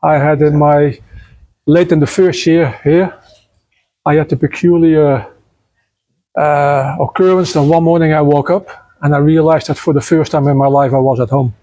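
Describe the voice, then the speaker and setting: A man from the Netherlands, a native Dutch speaker, answering interview questions as head of a nature foundation, calm and measured. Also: fairly high pitch, dry recording, low-quality recording. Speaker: guest, nature foundation president. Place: outdoors by the beach.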